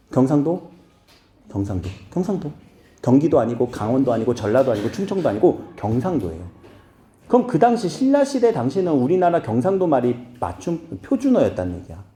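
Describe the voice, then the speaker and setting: A man's voice: medium pitch, sing-song, fairly quick, dark tone, reverberant. A man from Seoul teaching a class, asking his students questions and making playful asides. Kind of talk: classroom lecture.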